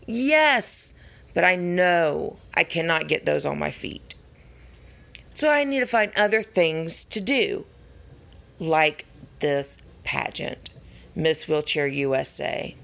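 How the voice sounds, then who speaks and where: Raspy woman vlogger, unhurried and earnest, gravelly fry, encouraging tone. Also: low voice, lively intonation, fairly bright tone. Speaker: pageant contestant. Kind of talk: casual vlog talk to camera.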